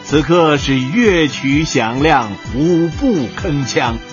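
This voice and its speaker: A man's voice: on the high side, expressive, slow pace. Guy narrating radio comedy, takes his time, music behind, slightly muffled.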